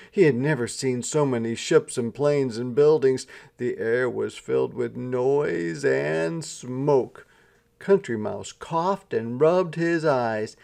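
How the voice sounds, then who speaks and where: A man reading a children's story aloud as an expressive storyteller, with deliberate, dramatic phrasing. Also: mid-range voice, vocal fry. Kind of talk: expressive scripted storybook narration.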